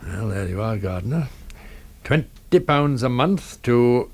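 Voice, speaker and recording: An actor playing a shrewd, self-assured police inspector in a radio drama, terse and measured in manner. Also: male voice, medium pitch, expressive. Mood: courteous, assured. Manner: terse, measured, courteous but brusque.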